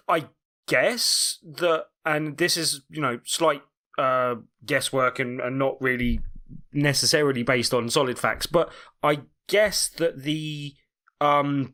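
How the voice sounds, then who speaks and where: A man's voice: mid-range voice, pushed and bright. A British man co-hosting a gaming podcast, chatting casually and thinking aloud with hesitant restarts. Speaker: podcast co-host. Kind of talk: casual banter.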